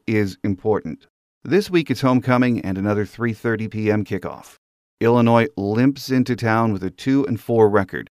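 A man's voice: on the low side, dry recording, studio quality. A man hosting a sports podcast, reading scripted commentary to fans. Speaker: podcast host.

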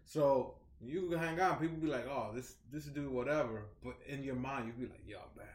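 A man's voice: mid-range voice, brisk, a crowd in the background. A man in his forties co-hosting a podcast, talking in a laid-back, reflective way and swearing casually. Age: 40s. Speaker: podcast co-host. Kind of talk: casual chat. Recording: echoey room.

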